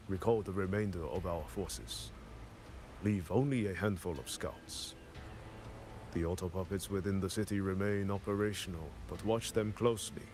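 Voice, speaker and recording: Male actor as grave city commander, deep male voice, formal, measured, game dialogue, soft music behind. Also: lightly acted. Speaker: military commander character. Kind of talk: scripted video game dialogue.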